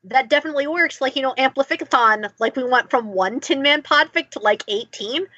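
Husky, higher-pitched woman, dull dry recording. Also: fairly quick, pushed and bright, no echo. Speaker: podcast co-host. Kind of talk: casual chat. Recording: dull, lo-fi audio.

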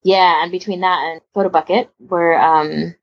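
Woman podcasting, casual chat, croaky husky voice, bit lo-fi. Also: lowish voice, fairly even intonation, moderate pace. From United Kingdom. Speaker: podcast host.

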